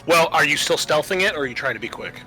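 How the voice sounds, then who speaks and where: A man's voice: fairly high pitch, expressive, fast, sharp tone. A man acting as game master, narrating a tabletop game in a casual, bantering way. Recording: music behind the voice. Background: music.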